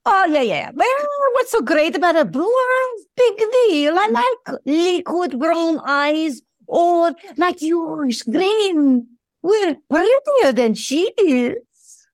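A woman's voice: very high-pitched, very animated, slow, no room sound, heavily acted. A woman playing a vain, girlish female show dog in an audio play. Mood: gushing, catty.

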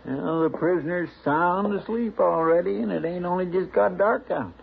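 An actor playing a folksy, eager deputy sidekick in a 1950s radio drama, with a gravelly, drawling, hesitant way of talking. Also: male voice, high-pitched, normal speed. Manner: drawling, hesitant, rustic grammar. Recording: tinny old radio broadcast with hiss.

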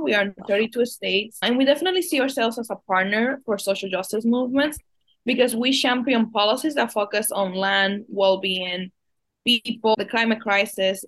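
A young woman from the Dominican Republic, a guest answering interview questions in an earnest, quick flow with small restarts. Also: medium pitch, fairly bright tone, poor audio. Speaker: guest, farm policy advocate.

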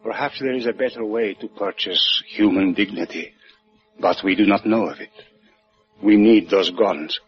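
An actor playing an earnest, courteous revolutionary with a Spanish accent, formal and solemn, in 1950s radio drama style. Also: male voice, lowish voice, faint music behind, close to an everyday voice. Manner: formal, deliberate, polite. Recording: muffled old 1950s radio recording.

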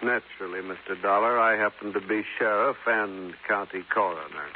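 An actor playing a firm, courtly older county sheriff in a 1950s radio drama, formal, measured and unyielding. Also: male voice, on the low side, lightly acted. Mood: firm, guarded. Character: silver-haired county sheriff, firm, courtly, protective, stubborn. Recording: narrow hissy 1950s radio broadcast.

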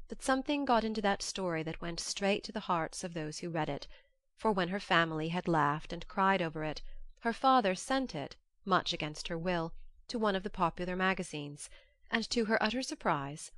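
Lowish woman narrating a classic novel. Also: no room sound. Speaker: audiobook narrator. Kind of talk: expressive scripted literary narration.

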